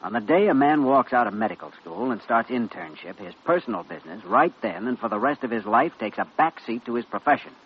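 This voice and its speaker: A man acting an earnest, principled young doctor in an old-time radio drama, measured, firm and sincere. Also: mid-range voice, lively intonation, natural voice. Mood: stern, concerned. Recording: muffled, noisy vintage radio recording.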